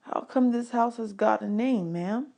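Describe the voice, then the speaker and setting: A woman's voice: a little high, a little slow, creaky, warm tone. A woman voicing a polite, nervous, imaginative young orphan boy with a folksy, earnest manner in a children's audiobook. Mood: polite, uneasy.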